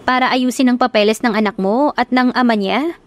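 Woman doing a young mother, high, sharp, scolding, dry close voice. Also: a little fast, no room sound, close to an everyday voice. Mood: teasing. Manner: loud, scolding, teasing. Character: young wife, new mother, teasing.

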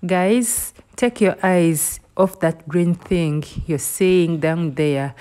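A woman with a Ugandan English accent, chatting to viewers in a slow, easy way as she does the laundry at home. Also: on the low side, over loud music. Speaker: vlogger doing laundry. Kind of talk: casual narration while doing chores. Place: tiled laundry room and outdoor alley at home. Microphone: phone camera.

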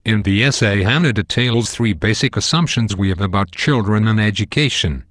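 A low-pitched male text-to-speech voice acts as an animated host. The scripted delivery is formal and explanatory, and the sound is dry. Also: normal speed, no room sound. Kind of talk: scripted presentation.

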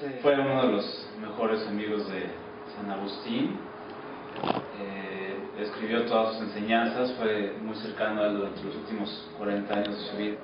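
A Mexican man in his thirties, an actor, giving casual answers in an interview. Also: lowish voice. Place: small office indoors. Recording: very echoey, muffled, noisy, distant microphone.

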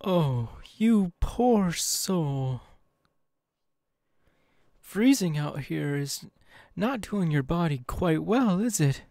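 A suave male demon, speaks with slow, swooping intonation. He is genteel and smug, full of false sympathy and theatrical pauses. The recording is dry, in an ASMR audio roleplay style. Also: on the high side, animated, gravelly, dark tone, dry recording, full character voice. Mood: mock pity.

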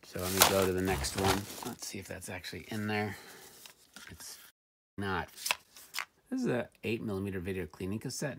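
A middle-aged man giving casual, off-the-cuff commentary on thrift finds, with dry, wry, muttered asides. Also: low voice. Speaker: reseller showing thrift finds. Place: indoor room at home, at a table. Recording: noisy home recording with handling clicks.

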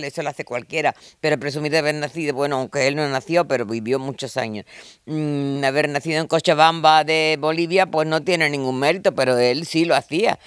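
A woman's voice: deep voice, clean tone, no room sound, clean studio sound. An elderly woman talks as an interview guest, digressing and repeating phrases for emphasis. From Marbella, southern Spain. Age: 70s or older. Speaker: interview guest, historian and novelist. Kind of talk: conversational reminiscing in an interview. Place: shaded garden patio, outdoors. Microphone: handheld reporter mic held close.

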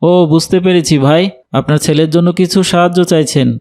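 A man as a higher-pitched, kindly office worker. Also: steady tone, fast, darkish tone, close to an everyday voice. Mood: reassuring, helpful. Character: kind, helpful educated office worker. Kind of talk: animated cartoon dialogue.

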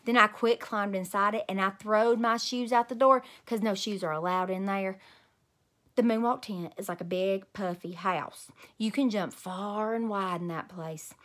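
A woman's voice: medium pitch, fairly even intonation, normal speed, fairly bright tone. A woman in her thirties reading a storybook aloud expressively as a host for young children. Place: small home room indoors.

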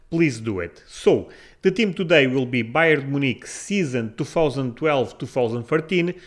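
A man whose first language is not English, hosting a soccer card channel and giving his opinions over slides, halting with many fillers and restarts. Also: medium pitch, fairly bright tone.

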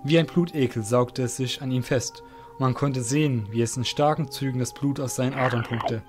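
A man's voice: medium pitch, fairly even intonation, brisk. Man narrating a fantasy audio drama, music behind.

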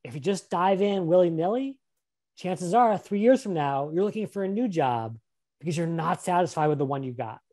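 A man's voice: high voice, expressive, moderate pace, a little mellow. A man presenting a career webinar over a video call, upbeat and encouraging, often putting questions to a volunteer. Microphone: webcam on a video call.

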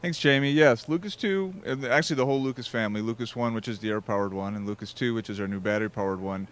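A man's voice: medium pitch, fast talker. A man working as a product representative, giving a live step-by-step demonstration at a trade show booth. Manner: explanatory, step-by-step instruction.

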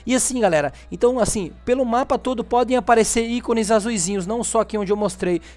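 A man's voice: very high-pitched, fast talker, a little mellow. A Brazilian man giving casual game tutorial commentary, informal, often tagging sentences with little check-in questions. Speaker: gaming video host. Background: background music.

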